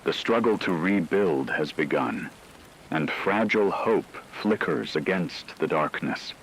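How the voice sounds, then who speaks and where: A man's voice: sits low, animated, measured pace, gravelly, a little hiss or hum, exaggerated voice, rough recording. A man playing a grave radio anchor who reads scripted war news in a solemn, portentous and formal way. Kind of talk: scripted dramatic news narration.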